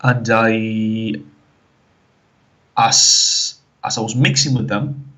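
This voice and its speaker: A man with a Spanish accent from the Canary Islands, a guest in a casual interview, speaking haltingly and filling pauses with "like, you know". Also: fairly low pitch, fairly even intonation, pushed and bright. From Canary Islands, Spain.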